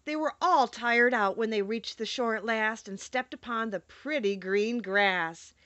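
A woman's voice: fairly high pitch, measured pace, fairly bright tone, no room sound, muffled recording. A woman reading a children's storybook aloud as an audiobook narrator. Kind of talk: expressive scripted storybook narration.

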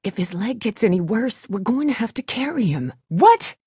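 A woman's voice: on the high side, big pitch swings, moderate pace, dark tone, husky, no room sound, exaggerated voice. A woman voice actor playing a guarded, blunt, protective young survivor of a zombie apocalypse in a video game, with a dry delivery. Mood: urgent, frustrated.

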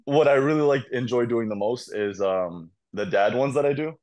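A young man, a podcast guest and content creator, telling animated stories in casual chat over a video call. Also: medium pitch, normal speed. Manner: animated storytelling, laughs often.

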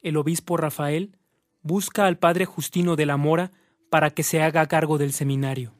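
A man is the narrator of a radio drama, telling the story in scripted style. His voice is somewhat high and recorded dry. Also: steady tone, moderate pace, no echo, lightly acted. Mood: narrating.

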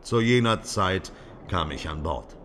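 A man's voice: deep voice, lively intonation, unhurried, fairly bright tone, a little hiss or hum. A man voicing a mysterious, grim and passionate stranger in an audiobook, with a powerful, resonant voice. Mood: solemn. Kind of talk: audiobook character acting.

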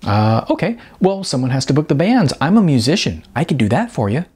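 A man's voice: on the high side, very animated, brisk, a little mellow, slightly rough, pitched above the performer's own voice. A man voicing a hopeful young male musician looking for work in an audiobook, earnest, hesitant and pleading. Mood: eager.

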